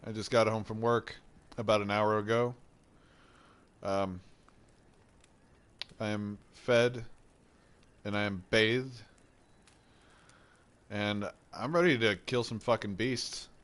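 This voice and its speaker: A laid-back young man with a stuffy, head-cold voice, rambling easily as he kicks off a gaming stream. He talks slowly in a fairly low register, dropping casual swears. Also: fairly even intonation, a bit bright. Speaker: gameplay streamer. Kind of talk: casual gaming intro chat.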